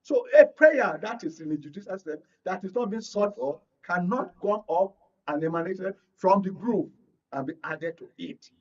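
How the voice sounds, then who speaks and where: A Nigerian man with a fairly high voice speaks as a guest analyst in a political discussion. He lays out his points in an explanatory, deliberate way. The sound is slightly dull and lo-fi. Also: lively intonation, darkish tone. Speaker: media analyst, panel guest.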